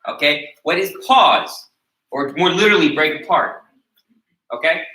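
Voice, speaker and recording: A man's voice: fairly high pitch, measured pace, sharp tone. An American man teaching a taekwondo class, explaining and checking in with his students after every point. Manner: instructive, checks in with "okay?" after each point. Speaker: taekwondo instructor. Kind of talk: teaching a martial arts class. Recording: echoey room recorded from a distance.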